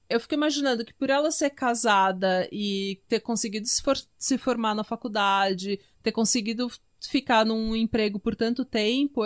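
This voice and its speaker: A woman with a fairly high voice and a Brazilian Portuguese accent hosts a podcast. She tells a true-crime story in an informal way, chatting to friends and wandering into asides. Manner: informal, conversational, full of asides. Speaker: podcast host.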